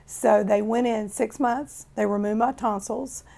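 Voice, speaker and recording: A woman in her fifties from Florida with a hoarse, raspy voice, telling her own life story in a plain, unhurried way. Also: fairly high pitch, fairly even intonation, dark tone. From Florida, USA. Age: 50s. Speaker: testimony speaker.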